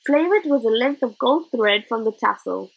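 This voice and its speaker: Expressive woman reading a storybook aloud. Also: a little high, normal speed, gravelly, slightly rough, poor audio. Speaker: audiobook reader. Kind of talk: storybook narration.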